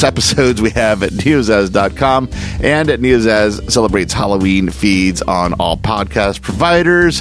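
A man hosting a podcast, speaking directly to listeners as a presenter. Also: lowish voice. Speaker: podcast host.

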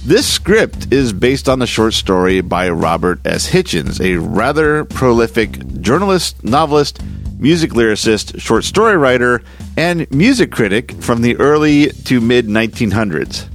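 A man with a mid-pitched, lively voice reads a scripted podcast introduction. The sound is close and dry, with faint music underneath. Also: unhurried. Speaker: podcast host.

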